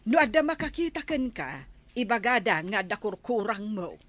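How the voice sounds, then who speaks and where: A woman's voice: mid-range voice, big pitch swings, moderate pace, fairly bright tone. A woman playing a nagging, skeptical village wife with an Ilocano accent in a comedic radio drama. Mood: scolding, questioning. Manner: nagging, questioning. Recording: faint noise and ambience.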